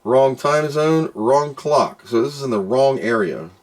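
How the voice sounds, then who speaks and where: A man's voice: mid-range voice, expressive, unhurried. A man doing casual hands-on product commentary, muttering to himself as he works and reading out screens. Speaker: product reviewer doing an unboxing and test.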